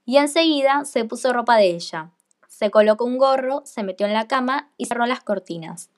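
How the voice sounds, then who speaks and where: Higher-voiced woman narrating a fairy tale. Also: lively intonation, normal speed. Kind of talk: scripted storybook narration for radio play.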